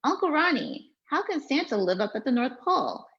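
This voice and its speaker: An eager little girl full of questions, as a woman puts her on, her voice pitched up and bouncing between high wondering notes and gravelly edges. The read-along recording is dull and lo-fi. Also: sing-song, normal speed, a bit bright. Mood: curious.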